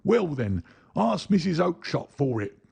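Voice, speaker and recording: A man voicing an angry market salesman who shouts fiercely at a pestering stranger, in a storybook reading. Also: fairly high pitch, very animated, unhurried, creaky, slightly rough, voice pushed up, exaggerated voice. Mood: angry shouting.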